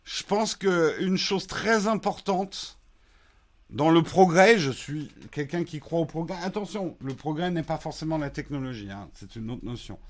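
Male streamer, slightly higher voice, unhurried and chatty, lots of hesitations while he answers chat. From France. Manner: relaxed, hesitant with fillers and restarts. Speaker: host of a morning live show answering chat. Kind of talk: casual live-stream chat reading viewer questions.

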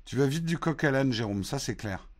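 A man from France hosting a casual morning live stream, answering viewer questions in a relaxed, hesitant way with many fillers. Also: medium pitch, fairly quick. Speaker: host of a morning live show answering chat.

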